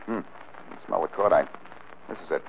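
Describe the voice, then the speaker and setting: An actor playing a cool, self-assured, wry amateur detective in a 1940s radio drama. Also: male voice, deep voice, moderate pace, creaky. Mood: cool, probing. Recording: narrow-band noisy old radio recording.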